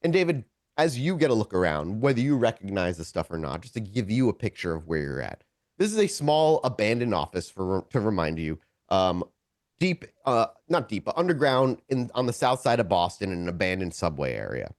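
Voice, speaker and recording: A man with a low-pitched, animated voice acts as game master for a tabletop RPG. He improvises vivid scene narration for his players, often stopping to rephrase. The sound is close and dry. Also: dry recording. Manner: halting, self-correcting, searching for words.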